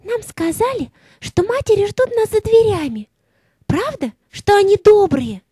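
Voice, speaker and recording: A woman playing an excited, dreamy small child waiting to be born in a children's audio fairy tale. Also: very high-pitched, measured pace, no room sound, exaggerated voice. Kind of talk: children's audio play acting.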